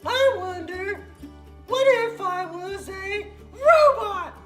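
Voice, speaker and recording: An extremely high, childlike girl puppet, excitable and easily distracted. She rambles and repeats herself as she spins playful stories for kids. The room is echoey, with faint music behind. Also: slow, exaggerated voice. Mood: giddy, rambling. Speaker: children's puppet show host. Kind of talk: playful improvised storytelling for kids.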